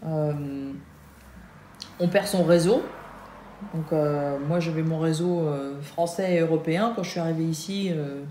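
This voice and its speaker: Une femme française, la trentaine, qui parle de son travail en vivant aux États-Unis, avec beaucoup d'hésitations et de faux départs. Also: female voice, low-pitched, measured pace, mellow. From France. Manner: hesitant, many fillers and restarts. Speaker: Nike employee in the US. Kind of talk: casual vlog chat. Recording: echoey room with faint hum.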